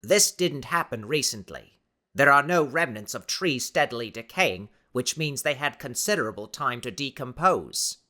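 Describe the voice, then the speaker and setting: A man playing a big, unflappable explorer in an audiobook, talking in a measured, pedantic way. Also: mid-range voice, expressive, bright, forceful tone. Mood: dry explaining. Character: big, unflappable male explorer, learned and matter-of-fact.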